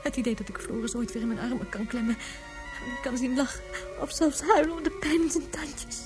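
An actress in a Dutch radio drama playing an anguished, tender mother of a missing baby, speaking in an emotional, pleading, soft-toned way. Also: high-pitched, a little fast, music in the background, close to an everyday voice.

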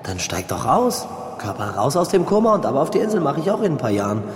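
Teasing young sceptic, very lively pitch, radio drama, reverberant hall. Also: male voice, fast talker. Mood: sceptical, teasing. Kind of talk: German radio drama acting. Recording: echoey hall with long reverb.

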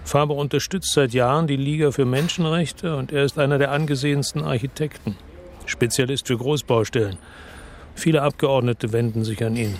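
A hardened investigator in a radio crime play tells his story in slow, somber first person, with a man voicing him. His voice sits at an everyday middle pitch, with faint ambient noise underneath. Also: steady tone, lightly acted. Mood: earnest. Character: brooding male narrator, a hardened investigator. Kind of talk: radio drama narration.

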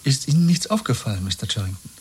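Younger rebel, breathy husky tone, mid register, earnest and urgent, 1970s radio play. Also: male voice, mid-range voice, sing-song, fast, darkish tone. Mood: probing. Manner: urgent, pressing, intense. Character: earnest, insistent younger man, secretly rebellious, weary.

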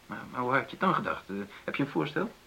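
An actor playing a hesitant, placating middle-aged husband in a marital argument in a radio play. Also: male voice, medium pitch, sing-song, fast talker, creaky, sharp tone, slight background noise, exaggerated voice. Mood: hesitant, conciliatory. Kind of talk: naturalistic Dutch radio play dialogue.

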